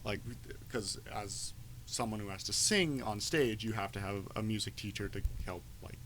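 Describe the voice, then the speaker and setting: A man chatting casually on a podcast, telling anecdotes in an animated storytelling way, on noisy amateur audio. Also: on the low side, moderate pace.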